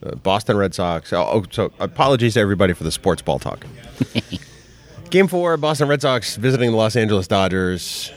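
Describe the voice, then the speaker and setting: A man's voice: on the low side, animated, moderate pace. A man hosting a podcast, teasing his co-host in dry, casual banter. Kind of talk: casual chat. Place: hotel room at a convention.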